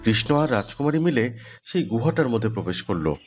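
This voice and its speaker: A man's voice: medium pitch, big pitch swings, brisk, faint music behind. A man telling a scripted fairy tale as the storyteller. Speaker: storyteller, narrator.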